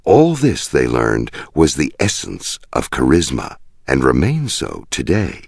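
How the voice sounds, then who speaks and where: A man with a gravelly voice reading a nonfiction audiobook in a measured, deliberate way with dramatic emphasis. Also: extremely low pitch, wildly swooping pitch, darkish tone, no echo, clean studio sound. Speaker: audiobook narrator. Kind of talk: scripted nonfiction audiobook narration.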